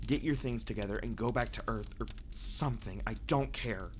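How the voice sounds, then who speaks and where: A man playing a reclusive, brooding vampire who confides earnestly and haltingly, in an ASMR roleplay audio drama style. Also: lowish voice, slight background noise, natural voice. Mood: brooding, weary. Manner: halting, hesitant delivery with dramatic pauses and sighs.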